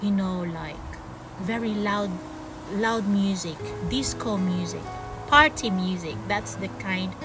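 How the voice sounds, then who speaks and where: A woman's voice: mid-range voice, slow. A woman from the Philippines with a Filipino accent, telling a personal story to her viewers in a chatty way, often saying "you know" and "guys". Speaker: vlogger. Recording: distant mic with clear music behind.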